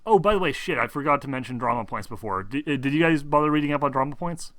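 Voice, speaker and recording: A man's voice: mid-range voice, quick pace, a bit bright. A man acting as game master, narrating and explaining rules in a casual, rambling, informal way.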